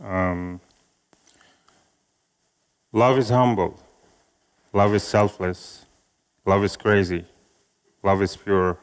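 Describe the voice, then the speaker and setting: A man from Syria whose first language is Arabic, giving a slow, measured reading of a speech and a poem at an event. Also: low voice, fairly even intonation. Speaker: speaker at an event.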